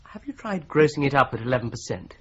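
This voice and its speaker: An actor playing a polite, methodical young law clerk who presses his points in a calm, persistent way, in a radio drama. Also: mid-range voice, fast talker, husky. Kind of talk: 1970s radio drama acting. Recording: slightly dull broadcast recording.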